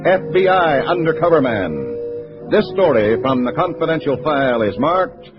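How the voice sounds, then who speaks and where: A man's voice: fairly high pitch, sing-song, measured pace. Emphatic 1950s radio announcer man over music. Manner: urgent, emphatic, projected. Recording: muffled vintage radio recording. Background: dramatic music.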